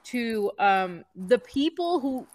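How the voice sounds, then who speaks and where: A woman's voice: a little high, big pitch swings, takes it slowly. A woman from the Czech Republic, a podcast guest in casual conversation, who often stops and restarts her phrases.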